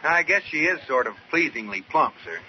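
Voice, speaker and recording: An American actor playing a wry, affectionate but exasperated husband in an old-time radio comedy. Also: male voice, a little high, very animated, brisk. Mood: deferential. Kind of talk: 1940s-50s radio comedy acting. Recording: noisy, muffled old radio recording.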